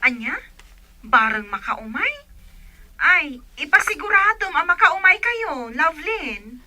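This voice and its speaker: A woman plays a pushy older sister with an Ilocano accent. The voice is extremely high and piercingly bright, swooping about in teasing, insistent comedy-drama style. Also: sing-song, takes it slowly, no room sound, full character voice. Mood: bossy, teasing.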